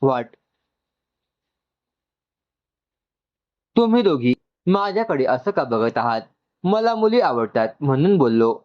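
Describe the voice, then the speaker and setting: A man voicing an excitable, doting young new father in a story, gushing and mixing in English. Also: fairly high pitch, normal speed, highs cut off. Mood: defensive.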